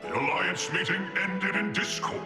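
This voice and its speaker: Man voicing a high, theatrical boastful little lion creature. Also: normal speed, close to an everyday voice. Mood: boastful, dramatic. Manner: grandiose, theatrical storyteller. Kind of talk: video game character acting. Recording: echoey voice over game music.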